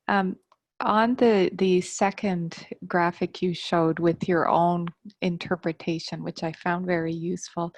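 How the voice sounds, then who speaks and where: A woman academic making careful, measured comments in an online workshop discussion over a video call. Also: on the low side, no room sound. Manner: measured, precise, qualifying her points. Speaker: workshop participant, university researcher.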